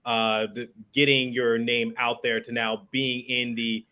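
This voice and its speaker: A man's voice: medium pitch, level tone, a little slow, sharp tone, clean tone. An American man hosting a sports podcast, moving between scripted promo reads and conversational interview questions.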